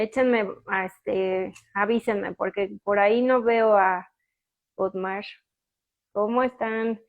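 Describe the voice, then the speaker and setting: A woman's voice: medium pitch, lo-fi sound. A woman with a Mexican accent hosting a casual live stream, chatty and full of fillers and restarts as she waits for her guest. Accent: Mexican Spanish.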